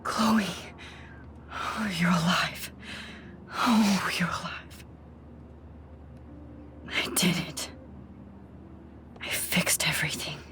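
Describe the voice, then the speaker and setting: A woman voicing an anxious, introspective teenage girl in a video game, speaking softly and often half-whispering to herself. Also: on the low side, extremely slow, pauses often. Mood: anxious relief. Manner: soft, breathy, half-whispered musing. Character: anxious, soft-spoken teenage girl student, introspective time traveler. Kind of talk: video game acting, inner monologue and dialogue.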